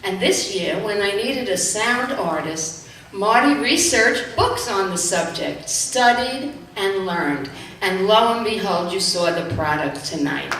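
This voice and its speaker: Woman director addressing a live audience with thanks, unhurried and emphatic, distant in a noisy, booming hall. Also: lively intonation, a bit bright. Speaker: amateur theatre writer and director. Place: large hall, live stage event. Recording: very echoey noisy hall, far off.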